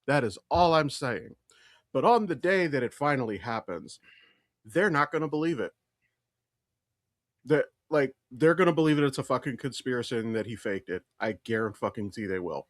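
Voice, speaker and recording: A man's voice: mid-range voice, expressive, normal speed, no echo, clean studio sound. A man co-hosting a podcast, talking casually and opinionatedly in a blunt, profane way. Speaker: podcast co-host.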